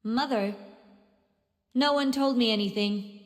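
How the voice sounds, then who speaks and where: Naive, detached young female living tool, high-voiced actress. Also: unhurried, lightly acted. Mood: wary. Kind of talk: English anime game dub acting. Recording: long hall reverb, music underneath.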